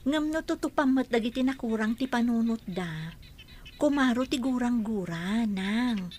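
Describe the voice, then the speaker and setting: A woman playing a soft-spoken young wife. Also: a little high, animated, a little slow. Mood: pleading. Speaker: Juliet, the daughter. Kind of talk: amateur radio drama acting.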